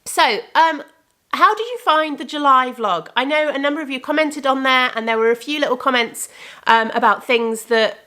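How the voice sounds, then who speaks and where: A woman's voice: high voice, pushed and bright. A young adult woman hosting her crafting podcast in casual chat, rambling and correcting herself, and slightly congested from a cold. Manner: chatty and rambling, with frequent self-corrections. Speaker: podcast host and indie yarn dyer. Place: room with bookcases of yarn behind her.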